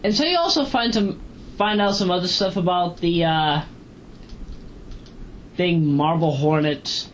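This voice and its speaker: An androgynous, mid-pitched voice rambles casually through an amateur review, repeating phrases and correcting itself as it goes. The recording is muffled home audio, with a faint fan noise behind the speech. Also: measured pace, long pauses. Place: at home, moving between kitchen and bedroom. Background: fan running.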